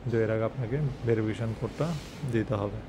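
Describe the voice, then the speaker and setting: A man's voice: fairly low pitch, fairly even intonation, quick pace. A man giving an unscripted how-to as an installer, mixing English technical terms into plain instructions. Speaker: installer presenting a how-to video. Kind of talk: unscripted tutorial narration. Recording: loud ambient and vehicle-like noise.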